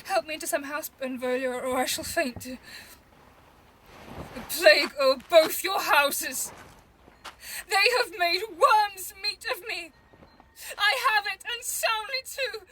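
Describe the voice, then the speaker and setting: A woman's voice: very high voice, slow pace, pauses often, background noise. A woman playing a mortally wounded, bitterly witty young brawler in a Shakespeare audio drama, theatrical and gasping. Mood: dying, bitter.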